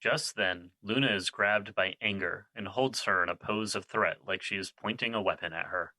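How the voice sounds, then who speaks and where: A man's voice: lowish voice, moderate pace, bright, forceful tone, dry recording, low-quality recording. A man playing the narrator of an audio drama, reading scripted stage directions. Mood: neutral narration.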